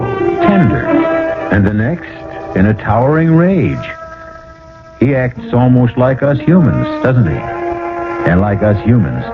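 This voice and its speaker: A man hosting and narrating a scripted radio mystery drama in a theatrical, suspenseful way. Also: on the low side. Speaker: host and narrator. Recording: tinny old radio broadcast, music behind. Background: music.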